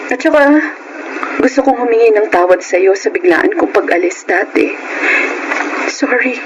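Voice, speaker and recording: A woman's voice: mid-range voice, expressive, moderate pace, highs cut off, noisy background, lightly acted. An actress with a Filipino accent playing an earnest, distressed young wife and mother in a radio melodrama. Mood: sorrowful, tender. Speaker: actor in audio drama.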